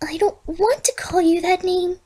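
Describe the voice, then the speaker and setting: Woman playing a timid, high, whimpering pony. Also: steady tone, moderate pace, mellow. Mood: anxious. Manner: whimpering, stammering. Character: timid, frightened young female pegasus pony. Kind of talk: amateur storybook fan fiction reading.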